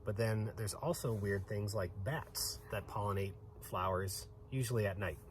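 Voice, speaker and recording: A man's voice: lowish voice, moderate pace, a little hiss or hum. A man giving an informal educational explanation for children as a guest, going through things plainly and step by step.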